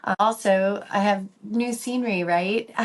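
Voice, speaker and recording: A woman hosting her own podcast, her voice a bit raspy and lower than usual from a cold, talking in a chatty, rambling way. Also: measured pace. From South Dakota. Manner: chatty, rambling, friendly explainer. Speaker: podcast host, dental hygienist. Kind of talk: casual solo podcast talk. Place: workplace, on a lunch break.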